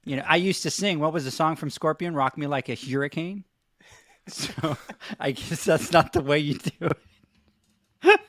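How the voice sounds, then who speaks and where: A middle-aged man from Puerto Rico with a Puerto Rican Spanish accent, a native Spanish speaker, hosting a podcast and telling animated, self-mocking stories in casual banter. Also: on the high side, moderate pace, pauses often, no room sound, studio quality. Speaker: podcast host.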